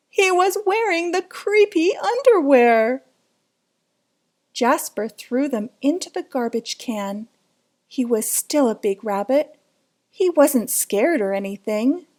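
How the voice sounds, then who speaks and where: A woman's voice: on the high side, a little mellow. A woman reading a children's picture book aloud in a dramatic, suspenseful way, drawing out words. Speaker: storybook reader.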